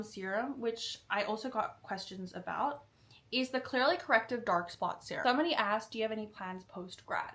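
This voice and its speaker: A young woman from Singapore chats through viewer questions in a loose, candid way, dropping "like" and "um" often. Her voice is bright and forward at a middle pitch. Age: young adult. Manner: chatty, frequent "like" and "um", candid. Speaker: vlogger. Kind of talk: casual Q&A chat.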